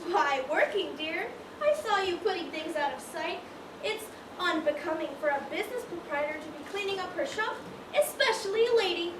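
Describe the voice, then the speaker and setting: Very high, prim society lady acted by a woman. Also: animated, moderate pace, distant mic. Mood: condescending. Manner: chiding, genteel. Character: prim, nosy, condescending society lady customer. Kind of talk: amateur stage play acting. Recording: echoey, noisy stage recording from afar.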